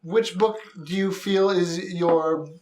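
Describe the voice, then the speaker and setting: A man hosting an improvised mock talk-show interview, halting as he phrases questions and reassures his guest. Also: sits high, steady tone, a crowd in the background. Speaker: comedy interview show host.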